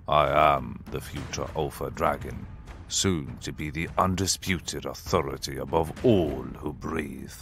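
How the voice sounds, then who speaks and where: Very deep, gravelly dragon tyrant. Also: male voice, a little slow, fairly bright tone, faint music behind, full character voice. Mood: imperious. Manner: pompous, grandiose, threatening. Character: arrogant, menacing male dragon rival in humanoid form. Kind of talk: fantasy audio drama acting.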